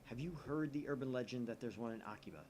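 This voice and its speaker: A man's voice: mid-range voice, fast talker, a little mellow, slight background noise, lightly acted. A man voicing a theatrical, self-styled young male mad scientist who talks in a dramatic, secretive way. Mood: eager.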